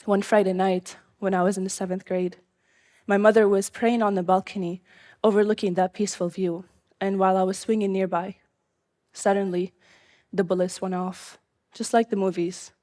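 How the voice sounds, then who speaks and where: Young syrian-born woman, earnest and measured, telling her story on stage, mid pitch. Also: little pitch movement, a little mellow. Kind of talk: live personal storytelling.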